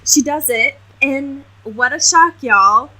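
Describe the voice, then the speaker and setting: A woman's voice: high voice, slow pace, heavy background noise. A woman co-hosting a podcast in casual chat, talking in an emphatic, slangy, sweary way. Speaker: podcast co-host. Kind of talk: casual book-recap chat.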